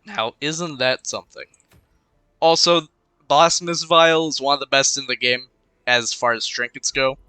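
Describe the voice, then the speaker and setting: Bright, crisp young man mulling over a game. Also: medium pitch, normal speed, no echo. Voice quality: congested. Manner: rambling, muttering to himself while thinking. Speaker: gaming commentator. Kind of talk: casual let's-play commentary. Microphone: cheap desk microphone.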